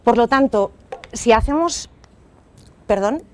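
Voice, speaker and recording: A woman's voice: fairly high pitch, animated, slow. A woman with a Castilian Spanish accent presents to an audience in a university auditorium, speaking into a mic. Her delivery is conversational, full of small restarts and asides. She checks in with the room through rhetorical questions. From Spain. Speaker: keynote presenter. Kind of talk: conference presentation.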